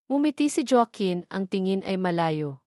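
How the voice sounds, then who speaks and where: A woman's voice: mid-range voice, very animated, moderate pace, darkish tone, no room sound, clean studio sound. A woman with a Filipino accent reading scripted narration for an audio drama.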